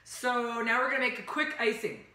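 Woman, 30s, cooking show host, relaxed, teasing, bright voice, echoey kitchen, far camera. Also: fairly high pitch, brisk. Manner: relaxed, teasing, step-by-step instructions. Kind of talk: casual cooking demonstration. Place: home kitchen, indoors.

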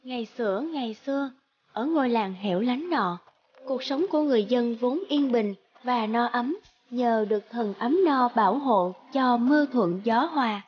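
A woman narrating a fairy tale in a measured storybook manner. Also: on the high side, slightly rough, highs cut off.

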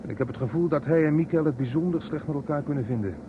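An actor playing an anxious, hesitant male house guest in a radio play, speaking haltingly with repeated words. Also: fairly high pitch, natural voice. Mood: hesitant, earnest. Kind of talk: Dutch radio drama acting. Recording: muffled, noisy old radio recording.

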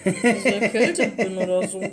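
A woman's voice: medium pitch, normal speed. A woman chatting casually as a let's player while she plays a game. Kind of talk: casual gameplay chat. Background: music.

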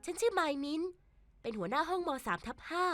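A woman voicing an earnest, bossy schoolgirl class leader in an animated cartoon. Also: sits high, fast talker, soft background music. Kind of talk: animated cartoon dialogue and narration.

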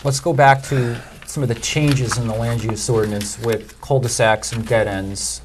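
A man on a town planning board, asking quick clarifying questions during a public meeting. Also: mid-range voice, steady tone, slight background noise. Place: town hall meeting room.

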